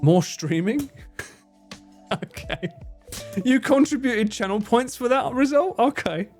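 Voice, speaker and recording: A man's voice: very high voice, lively intonation, slow, a little mellow, soft background music. A man streaming live, chatting casually with viewers in a joking, theatrical way and swearing freely. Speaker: streamer and cameraman.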